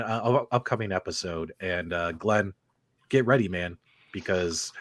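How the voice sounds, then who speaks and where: An animated man hosts a casual livestream with callers. His pitch moves around freely, and he talks to guests in an effusive, chummy manner. The sound is dry and close. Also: dry recording. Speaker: host of a live show.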